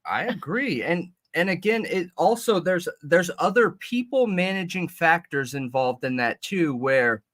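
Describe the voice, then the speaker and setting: A man's voice: on the high side, animated, unhurried, few pauses, fairly bright tone. A man co-hosting a livestreamed podcast, chatting casually and rambling with restarts into a desk mic that has a slight metallic ring. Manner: rambling, restarts mid-thought. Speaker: podcast co-host.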